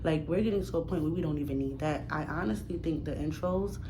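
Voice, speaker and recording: A woman's voice: deep voice, quick pace. A young woman from New York with a New York accent, giving casual, emphatic advice straight to camera in a slangy, direct way that repeats her points. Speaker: new YouTuber. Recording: audible background noise.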